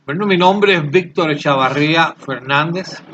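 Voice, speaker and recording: A man in his late sixties from Cuba, answering interview questions earnestly and haltingly, often repeating words as he searches for them. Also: a little high, highs cut off, background noise. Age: 60s. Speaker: channel creator, interviewee.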